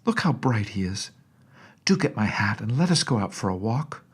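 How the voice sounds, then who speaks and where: A man voicing an excited, chattering little princess in a storybook, eager and full of exclamations and questions. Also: on the low side, normal speed, husky. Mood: delighted. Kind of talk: storybook character voice.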